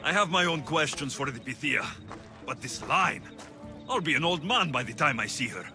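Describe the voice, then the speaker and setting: A blunt young mercenary. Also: male voice, a little high, animated, moderate pace. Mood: dry. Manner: blunt, dry, stubborn. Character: determined young male mercenary warrior. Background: game score music.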